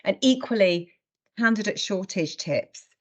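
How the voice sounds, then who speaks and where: A woman's voice: medium pitch, animated, unhurried. A woman hosting a webinar informally, chatty and given to acting out imagined remarks. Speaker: webinar host and trainer. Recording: dull lo-fi webinar audio.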